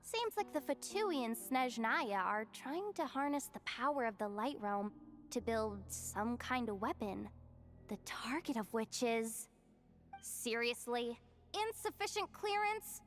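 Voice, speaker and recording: A woman voicing an upbeat young adventurer in a video game, lively and teasing with sudden exclamations. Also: fairly high pitch, measured pace, faint music behind. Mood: reading.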